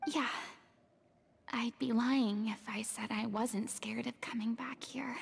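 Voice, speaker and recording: A woman playing a remorseful, fragile young former false god in a video game, speaking earnestly with breathy hesitation. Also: a little high, steady tone, slow, slight background noise, full character voice. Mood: guilty, anxious. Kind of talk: dramatic video game dialogue. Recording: music playing behind the voice. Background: game music.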